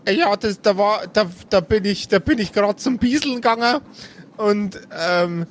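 Guy doing a chatty bavarian school kid, high pitched, stammery, naive, tabletop audio play, slight noise. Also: normal speed, lightly acted. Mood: earnest, flustered. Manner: rambling, stammering storyteller.

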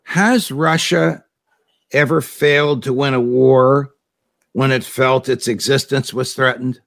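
A man with a heavily gravelly, creaky voice at an ordinary mid pitch, hosting an interview. He builds pointed, leading questions, laying out a chain of events before he presses his guest for an answer. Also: steady tone, slow, fairly bright tone. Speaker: interview host.

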